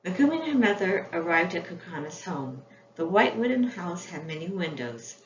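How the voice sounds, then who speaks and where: A woman's voice: lowish voice, sing-song, moderate pace. A woman reading scripted children's storybook narration as its author. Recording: echoey room, dull sound, faint noise.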